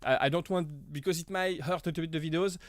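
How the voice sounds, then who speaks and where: A man hosting a casual live stream Q&A, chatty and halting, with frequent small laughs. His voice is deepened while he gets over an illness. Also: steady tone, dry recording, studio quality. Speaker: live stream host answering viewer questions.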